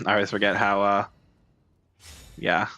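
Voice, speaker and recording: A man's voice: on the low side, level tone, takes it slowly, vocal fry, pushed and bright, no echo, highs cut off. A man giving casual gameplay commentary as a streamer, muttering to himself as he plays. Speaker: video game streamer. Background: game music.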